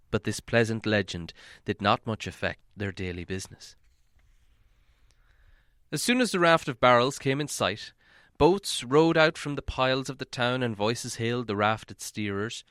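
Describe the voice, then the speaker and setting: A man reading a fantasy novel aloud as an audiobook narrator, in dramatic, measured storytelling. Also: on the low side, fairly bright tone, clean tone, no echo, clean studio sound.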